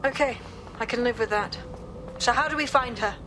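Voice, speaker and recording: An actress playing a brisk, blunt female hacker leader, in a video game. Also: a little high, noisy background, natural voice. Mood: determined.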